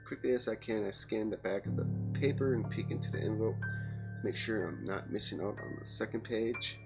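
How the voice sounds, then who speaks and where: A man's voice: lowish voice, a little fast, gravelly. A man hosting a let's play, reading story narration aloud with some dramatic emphasis and casual commentary. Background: loud game music.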